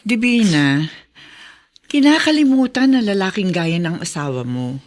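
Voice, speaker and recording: A woman's voice: medium pitch, very animated, measured pace. A woman acting a blunt, exasperated, scolding friend with a no-nonsense, emphatic manner in a Filipino radio drama.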